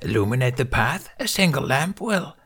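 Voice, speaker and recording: A man voicing a tiny, ancient, serene alien master who speaks in inverted, riddling sentences with a high, half-whispered voice. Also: sing-song, normal speed, breathy edge. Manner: inverted word order, gentle and riddling. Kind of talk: audiobook character voice.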